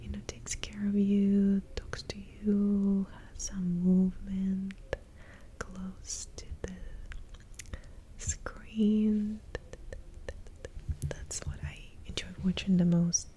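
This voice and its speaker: A young adult woman from Russia, speaking in a hushed, breathy half-whisper as she answers viewer questions in a soft-spoken ASMR video, very close to the mic. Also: medium pitch, flat delivery, extremely slow, pauses often, slight background noise. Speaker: ASMR creator. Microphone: close sensitive ASMR mic.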